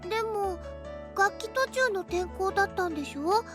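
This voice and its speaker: A woman playing a sweet, caring, inquisitive teenage girl in a visual novel, with a cutesy, gentle manner. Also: very high voice, animated, quick pace, music in the background. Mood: sweet, curious. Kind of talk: Japanese visual novel voice acting.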